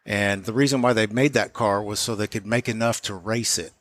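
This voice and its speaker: A man explaining car work in a casual, matter-of-fact way as an interviewed shop owner, pausing and restarting as he goes. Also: lowish voice, steady tone, fairly bright tone.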